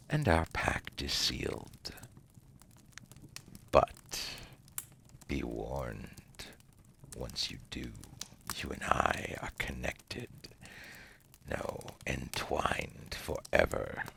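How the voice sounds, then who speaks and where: An ancient devil acted by a man murmurs in an extremely deep, gravel-laden voice that often drops into breathy whispers. He is slow and deliberate, smug and seductive, with silky menace and formal, theatrical phrasing. It plays as intimate ASMR roleplay. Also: a bit bright, slight background noise, full character voice. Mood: seductive, menacing. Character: seductive ancient male demon dealmaker, smug, silkily menacing.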